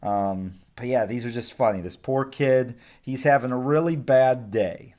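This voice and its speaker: A man's voice: mid-range voice, unhurried. A man hosting a children's picture-book read-aloud, speaking casually and expressively. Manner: expressive, animated reading. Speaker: read-aloud host, teacher-like reader.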